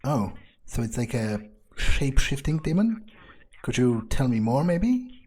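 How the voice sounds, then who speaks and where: A man doing in-character roleplay commentary over a video game, thinking aloud in a sardonic, amused way with halting phrasing. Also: medium pitch, big pitch swings, a little mellow, breathy edge. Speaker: gamer playing in character.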